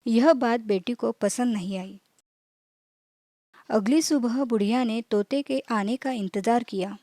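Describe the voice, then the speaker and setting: A woman storyteller with a fairly high but mellow voice reads a scripted children's tale. She phrases it clearly and takes it very slowly, recorded close and dry. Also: no room sound.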